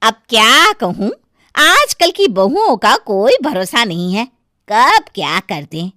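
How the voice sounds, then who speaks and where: Disapproving gossipy auntie, bright and sharp, slow talker. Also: female voice, a little high, very animated, dry recording, clean studio sound, exaggerated voice. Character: gossiping older neighbor woman. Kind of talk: cartoon voice acting.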